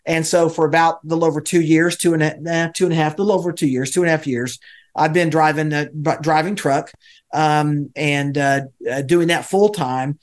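An American man giving conversational interview answers as a guest, speaking in a halting way with many pauses. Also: a little high, steady tone. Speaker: interview guest, volunteer church ministry leader.

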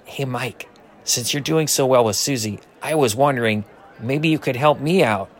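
A man's voice: mid-range voice, normal speed, natural voice. A man playing an eager, bashful but brash ninth-grade boy in an audio drama.

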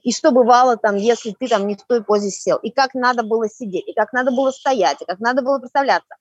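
A woman with a fairly high, husky voice talks very quickly in a podcast discussion. She explains emphatically and states her opinions firmly. The recording is dry and slightly muffled. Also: no room sound.

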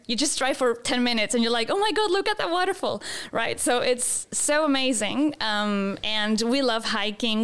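A woman in her thirties talks as a guest in a relaxed interview. Her voice is very clear and smooth and sits a little high, and she rambles happily through fillers, restarts and laughs. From Chile. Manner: chatty, many fillers and restarts, laughs often. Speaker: photographer and guest. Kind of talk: casual interview.

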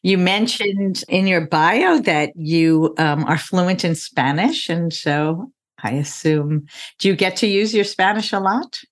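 Lower-voiced woman hosting a podcast. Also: slow. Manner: friendly, attentive questioning. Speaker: podcast host. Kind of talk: podcast interview.

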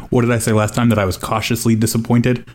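A man's voice: mid-range voice, level tone, brisk, a little hiss or hum. A man co-hosting a casual soccer podcast, giving a wry, rambling analysis full of fillers. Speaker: podcast co-host.

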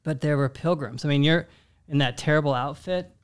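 A man's voice: on the high side, a little fast, clean tone. A man hosting a casual podcast interview, rambling and making self-mocking jokes. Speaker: podcast host.

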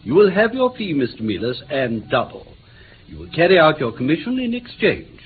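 Unctuous middle-aged male villain, fairly high voice, swooping intonation, tinny vintage radio drama. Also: huge pitch swings, moderate pace. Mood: oily, sinister. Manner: oily, coaxing, repetitive politeness. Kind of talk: mid-20th-century British radio play acting. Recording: tinny, slightly noisy old radio recording.

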